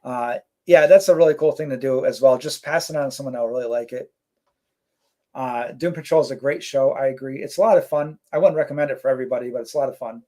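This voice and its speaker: A man's voice: medium pitch, fast. Laid-back man chatting on a live stream. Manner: relaxed, rambling, many fillers. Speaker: co-host reading live chat. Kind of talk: casual live-stream chat.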